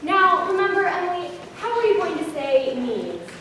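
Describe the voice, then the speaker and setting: Teenage girl playing a very shrill, bossy schoolteacher. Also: very animated, moderate pace, fairly bright tone, exaggerated voice. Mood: overbearing. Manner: emphatic, bossy, theatrical. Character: menacing, fussy second-grade teacher, overbearing and pedantic. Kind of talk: school readers theater comedy performance. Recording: echoey room, distant mic, faint noise.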